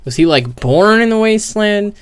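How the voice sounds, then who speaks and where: A young man streaming casual, rambling commentary on a video game, hesitating as he thinks out loud. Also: high-pitched, expressive, moderate pace, clear, resonant tone. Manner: casual swearing.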